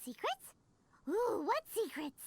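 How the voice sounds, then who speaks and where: Squeaky, childlike fairy companion. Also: female voice, sing-song, takes it slowly, slight background noise, full character voice. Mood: curious. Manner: exclamatory, inquisitive. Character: tiny childlike fairy companion, curious, chatty, cheeky. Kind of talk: anime-style video game voice acting. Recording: music playing under the voice.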